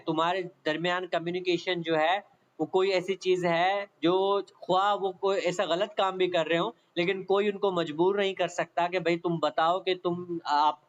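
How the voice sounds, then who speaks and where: A man's voice: high voice, fairly bright tone, highs cut off. A man with a Pakistani accent giving an explanatory law lecture, reading aloud and then explaining, repeating points and often checking that listeners follow. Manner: repetitive, checks understanding often.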